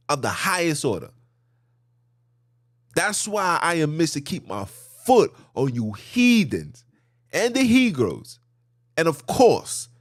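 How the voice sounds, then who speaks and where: A man hosting a podcast, preaching a monologue with animated, emphatic delivery, often jumping high in pitch and swinging between loud and hushed. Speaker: podcast host.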